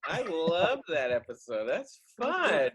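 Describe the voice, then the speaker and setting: A man's voice: a little high, very animated, takes it slowly, fairly bright tone, husky. A man hosting a casual chat as one of the cast. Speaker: host and cast member.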